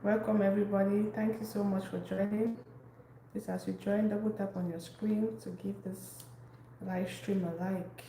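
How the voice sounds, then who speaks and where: Woman, cooking stream host, mellow gravelly voice, greets every viewer by name. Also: medium pitch, flat delivery, normal speed. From Cameroon. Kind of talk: casual live-stream chat while cooking. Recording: echoey room, faint background noise.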